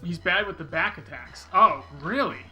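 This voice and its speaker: Bright-voiced man chatting while gaming. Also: high-pitched, lively intonation, normal speed, soft background music, poor audio. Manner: thinking aloud, rambling and unsure. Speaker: game streamer. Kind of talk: casual live-stream chat while playing.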